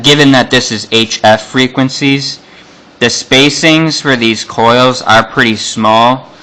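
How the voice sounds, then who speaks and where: A man's voice: medium pitch, sharp tone, clean tone, rough recording. A man giving an unscripted hands-on electronics demonstration, explaining things in a casual, matter-of-fact way.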